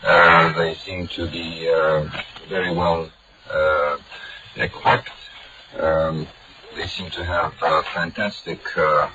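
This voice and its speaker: A man reporting for broadcast, talking in a hesitant way with many pauses and fillers. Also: low-pitched, level tone. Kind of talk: live field report and interview. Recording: muffled hissy old shortwave broadcast.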